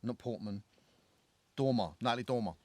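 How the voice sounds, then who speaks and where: A man's voice: medium pitch, moderate pace. A man with a thick London accent, rambling and swearing as he chats about films on a podcast. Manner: rambling, swears freely, many fillers and restarts. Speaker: podcast co-host. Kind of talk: casual film discussion. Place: living in Ireland.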